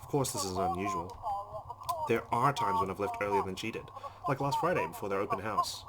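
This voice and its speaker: A man reads visual novel narration aloud as a let's play host. His voice is a little low and he moves along quickly, dropping in asides. Loud noise runs behind him throughout. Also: animated.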